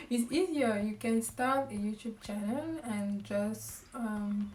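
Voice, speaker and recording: A young woman with a Cameroonian accent, giving casual advice to camera in a halting way, with lots of ums and restarts; she grew up speaking French. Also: fairly high pitch, slight background noise. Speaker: vlogger. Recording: echoey room, voice a bit far from the mic.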